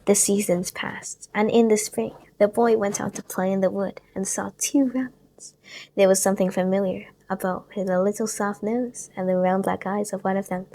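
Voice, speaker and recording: A woman reading a children's storybook aloud in a gentle, expressive way that sometimes drops to a hush. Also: mid-range voice, normal speed. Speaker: storybook reader.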